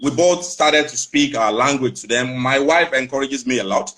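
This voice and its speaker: A Nigerian man with a Nigerian accent answering interview questions calmly, explaining at length and repeating phrases. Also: mid-range voice, normal speed, a little hiss or hum. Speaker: father and channel runner.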